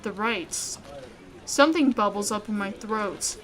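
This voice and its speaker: A woman hosting a let's play, reading a visual novel aloud in an expressive way. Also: medium pitch, unhurried, a crowd in the background, low-quality recording.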